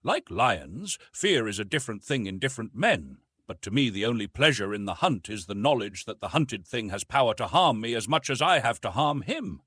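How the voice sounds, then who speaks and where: Guy doing a fearless jungle man in an audiobook, dry and sure of himself, measured, lively pitch, bit husky. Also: no echo, heavily acted. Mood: measured, assured. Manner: dry, simple, measured, self-assured. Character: dry, fearless jungle-raised man among gentlemen hunters. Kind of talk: audiobook character dialogue.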